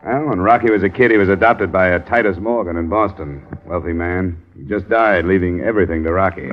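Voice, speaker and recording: Hard-boiled American male investigator played by a man, low, dry and clipped, old-time radio drama, dull vintage sound. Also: brisk, lightly acted. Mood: matter-of-fact. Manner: dry, clipped, matter-of-fact. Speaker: lead character and narrator. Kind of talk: 1950s American radio detective drama acting. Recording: muffled old radio recording, music behind.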